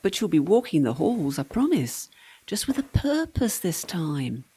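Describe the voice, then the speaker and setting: Wry serving-girl ghost, radio play, matter-of-fact, teasing, animated intonation, light noise and clicks. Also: lowish voice, unhurried. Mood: coaxing, brisk.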